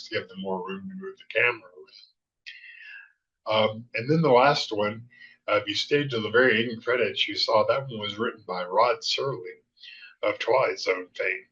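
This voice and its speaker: A man hosting a show with casual, somewhat halting commentary, in slightly muffled sound. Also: lowish voice, a bit bright. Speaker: show host. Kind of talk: casual commentary on vintage television.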